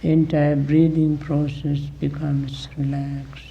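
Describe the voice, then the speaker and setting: A man with a gravelly voice gives guided meditation instruction as a teacher, deliberately, in short phrases with long pauses, on a dull recording. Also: on the high side, monotone.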